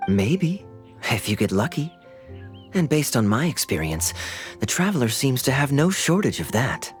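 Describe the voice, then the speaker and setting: Suave, easygoing young knight for anime game, played by a man: mid-pitched, very expressive, wry, measured and smooth. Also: soft background music, lightly acted. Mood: wry, friendly. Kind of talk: anime video game voice acting.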